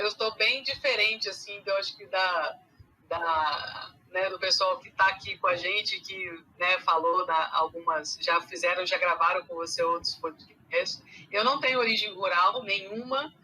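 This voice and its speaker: Bright-voiced Brazilian woman, mixed accent. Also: fairly low pitch, a little slow, slightly rough, low-quality recording. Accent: mixed Brazilian Portuguese, carioca with Minas traces. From Rio de Janeiro, Brazil. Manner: hesitant, many fillers and restarts. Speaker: guest, animal science teacher. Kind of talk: casual podcast conversation.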